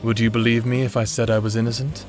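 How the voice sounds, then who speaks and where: A man acts a new prisoner in an audio drama with a mid-range voice and soft music underneath. He is earnest and guarded, with formal wording and a touch of indignation. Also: medium pitch, little pitch movement, quick pace, lightly acted. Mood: dry, guarded. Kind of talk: audio drama character acting.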